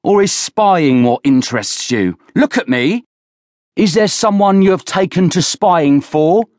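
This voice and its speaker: A man's voice: high-pitched, expressive, a little slow, a little mellow, no echo, clean studio sound, pitched above the performer's own voice. A man voicing an angry, sneering, bullying thug leader who roars with contempt in an audiobook. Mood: roaring, suspicious. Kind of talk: dramatised audiobook character voice.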